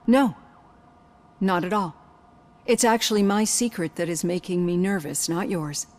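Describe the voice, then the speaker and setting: A composed female psychologist with a fairly low voice, acted by a woman. She speaks in articulate, measured sentences with a probing clinical manner, as a character in a video game. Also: darkish tone, close to an everyday voice. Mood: confiding, measured.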